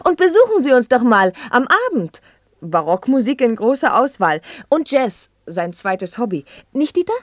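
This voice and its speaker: Lively, high-voiced sociable wife. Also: female voice, moderate pace, dry recording, clean studio sound. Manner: breezy, sociable. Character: sociable, chatty wife, breezy and teasing. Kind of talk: German radio play acting.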